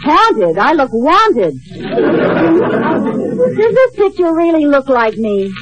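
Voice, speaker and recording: An actress playing a spirited, scheming wife in an old radio sitcom, with emphatic comic delivery. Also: female voice, high voice, sing-song, takes it slowly, a crowd in the background, full character voice. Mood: indignant, exasperated. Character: spirited, scheming, playful young-middle-aged wife. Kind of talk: 1950s radio sitcom acting. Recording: muffled old radio recording, loud studio audience.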